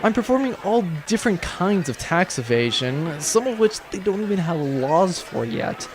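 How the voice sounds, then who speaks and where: A man's voice: high-pitched, sing-song, moderate pace. A young man playing a sardonic, exasperated accountant on a pirate spaceship in a comedy audio drama, grumbling dryly and wordily. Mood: weary, exasperated. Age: young adult. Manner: dry, grumbling, wordy.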